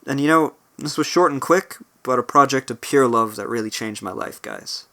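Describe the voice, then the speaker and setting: A young-sounding independent rapper, a man with a slightly gravelly strained voice, talking earnestly and rambling with restarts on a cheap home mic. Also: mid-range voice, moderate pace, pushed and bright. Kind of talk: spoken outro over a beat. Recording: spoken over clear background music. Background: guitar music bed.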